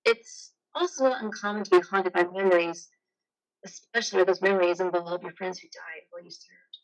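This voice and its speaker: A woman playing a firm, probing psychiatrist in a play reading, measured and professional. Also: fairly low pitch, fairly even intonation, dull audio, natural voice. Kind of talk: live amateur play reading.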